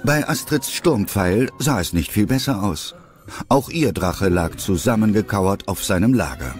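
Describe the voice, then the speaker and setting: A man's voice: lowish voice, animated, unhurried, soft background music. A man narrating a scripted audio drama as its storyteller.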